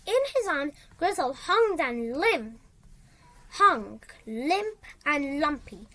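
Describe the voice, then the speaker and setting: Slow, high-pitched female storybook reader. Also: big pitch swings, a bit bright. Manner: halting, repeats and corrects words while reading. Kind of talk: reading a children's story aloud.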